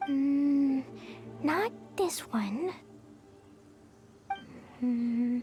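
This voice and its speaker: A woman's voice: high voice, very slow, warm tone. A woman playing a cheerful, innocent, impulsive little girl in an anime game, childlike and naive in manner. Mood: helpful, searching. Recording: music clearly playing under the voice.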